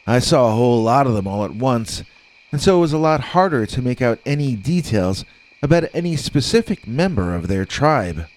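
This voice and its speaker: A man narrating a scripted first-person scary story in a measured, conversational storytelling manner. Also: mid-range voice, a little mellow, rough recording.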